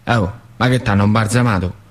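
A man's voice: lowish voice, fairly even intonation, quick pace, close to an everyday voice. Man as bossy Italian master craftsman, impatient. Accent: central Italian, northern Lazio. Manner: barking repetitive orders in dialect. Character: bossy, impatient master ceramicist ordering a young apprentice around.

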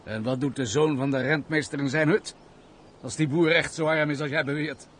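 An actor playing a curt, cynical gentleman in a radio play, a bitter stranger who distrusts people. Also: fairly high pitch, fairly even intonation, moderate pace, slight background noise, natural voice. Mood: sceptical.

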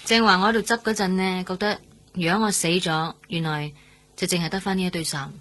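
An actress playing a gentle, fragile young woman in a radio drama, quietly candid, with a soft husky voice and a Hong Kong Cantonese accent. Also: lowish voice, fairly even intonation, brisk. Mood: wistful, candid. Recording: faint music bed underneath. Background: faint music.

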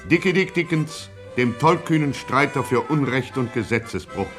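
A man's voice: mid-range voice, expressive, moderate pace, over loud music. A man narrating a radio crime satire in a scripted mock-epic style, pompous and grandiose.

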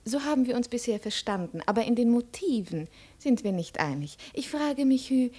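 An actress in a 1950s German radio drama playing a proud, shrewd, cold young princess, probing and ironic. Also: female voice, fairly high pitch, lively intonation, moderate pace, mellow, breathy edge. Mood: probing, suspicious.

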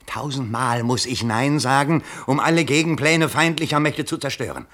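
A man's voice: mid-range voice, moderate pace, fairly bright tone, lightly acted. A male actor playing a frantic, scheming bourgeois candidate in a 1960s German radio play, theatrical and exclamatory, moving between mutters and cries. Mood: agitated self-soothing.

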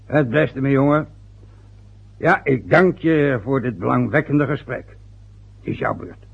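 On a dull old radio recording, a pompous older father in a radio play. His voice is fairly high for a man, gravelly and husky. He talks formally, with gruff impatience. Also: measured pace.

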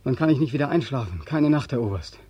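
Brief: haunted war returnee, 1940s radio drama, muted raspy tone, pleading, obsessive. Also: male voice, mid-range voice, expressive, fast talker, darkish tone, no echo. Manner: weary, pleading, obsessive, repeating himself. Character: weary, haunted ex-soldier back from war, insistent.

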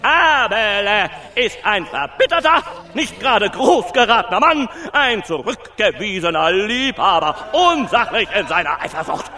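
An actor in a radio play as a sneering male demagogue, declaiming scornfully with drawn-out shouted emphasis to whip people up. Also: high-pitched, very animated, full character voice. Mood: mocking. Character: shrill male rabble-rousing orator, sneering, whipping up a crowd. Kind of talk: radio play political speech. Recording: crowd behind the voice.

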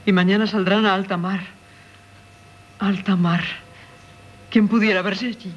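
A woman's voice: medium pitch, steady tone, measured pace, close to an everyday voice. An actress playing a melancholy married woman yearning for the sea, dramatic and wistful, in Castilian Spanish radio drama. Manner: dramatic, wistful, theatrical delivery. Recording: dull, noisy old radio broadcast.

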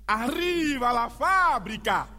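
A man performing as an ironic storyteller, delivering a theatrical monologue with emphatic, declamatory phrasing and dramatic pauses. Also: very high-pitched, very animated, bright, forceful tone, slight background noise, heavily acted. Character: male storyteller, ironic, emphatic, recounting local history. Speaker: stage narrator. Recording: long reverberant hall.

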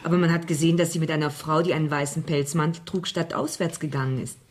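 An actress playing a young policewoman in a German radio crime drama, questioning calmly, plainly and patiently. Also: low-pitched, fast talker.

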